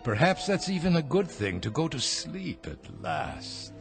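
An actor playing a weary, anxious older husband in a radio drama, speaking in a halting, searching way. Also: male voice, on the high side, very animated, gravelly, soft background music, full character voice. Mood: weary, pondering. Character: weary, anxious old husband longing for relief.

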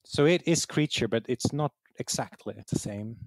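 A man's voice: medium pitch, expressive, dry recording. A man with a Swedish accent, a native Swedish speaker, talking conversationally as an interview guest and often searching for words. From Sweden. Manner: halting, searching for words, repeats words. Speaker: interview guest, game writer.

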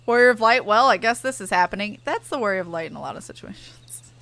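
A woman's voice: medium pitch, expressive, fast, a bit bright. A woman giving casual gameplay commentary as a streamer. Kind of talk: casual gameplay commentary, reading dialogue aloud. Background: game music.

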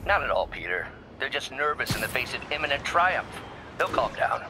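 An actor playing a kindly, optimistic older scientist in a video game, measured and reassuring. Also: male voice, mid-range voice, sing-song, over music, natural voice. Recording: phone call over noisy city.

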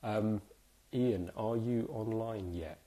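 A man in his thirties with a very low voice, a bit hoarse from a cold, hosting a live stream from a small room. He talks chattily and keeps spirits up, with plenty of ums along the way. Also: slow pace, dark tone. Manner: chatty, upbeat, many fillers. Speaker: host.

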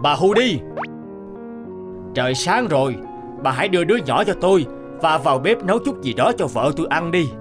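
An actor voicing a stern, secretive husband in a cartoon fairy tale, commanding and insistent. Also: male voice, fairly high pitch, very animated, moderate pace, pauses often. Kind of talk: animated fairy-tale dubbing. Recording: background music under the voice.

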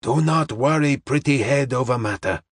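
Man as a detective, serene, courteous, deliberate phrasing, audiobook character, medium pitch. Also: husky, no echo, clean studio sound, natural voice. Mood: calm, assured. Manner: slow, deliberate, formally polite, drops articles.

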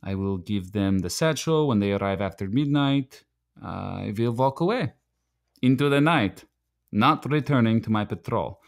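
An actor playing a proud, desperate immigrant night watchman in a radio play, pleading earnestly and haltingly. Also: fairly low pitch, lively intonation, gravelly. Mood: hushed, earnest.

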